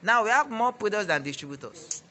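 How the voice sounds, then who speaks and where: Bright high-voiced young Nigerian man. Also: very animated, fairly quick. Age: 20s. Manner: emphatic, preachy exhortation. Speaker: network marketing director. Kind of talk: testimony interview.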